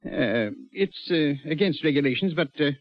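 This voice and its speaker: Man acting anxious prison governor, animated highish voice, 1940s radio drama, dull vintage recording. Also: moderate pace, natural voice. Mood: strained. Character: anxious British prison governor, firm but uneasy.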